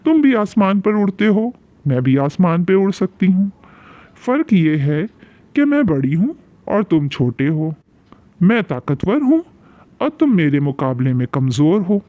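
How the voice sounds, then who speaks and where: A man's voice: sits high, sing-song, measured pace, dry recording, phone-quality sound. A man voicing a big, powerful, sly female eagle ruler in a cartoon fairy tale, with grand, persuasive speech-making. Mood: coaxing, boastful.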